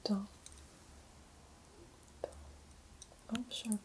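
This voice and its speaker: Very slow, quiet woman answering briefly. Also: slight background noise. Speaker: patient in an ASMR exam role-play. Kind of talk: soft-spoken ASMR role-play.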